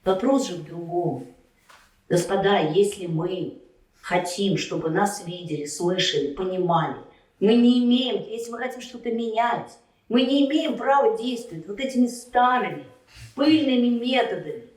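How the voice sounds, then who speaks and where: A woman in a heated political debate, arguing with sharp, combative retorts. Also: mid-range voice, lively intonation, normal speed, reverberant, distant mic, rough recording. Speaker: debate candidate. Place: Kyiv.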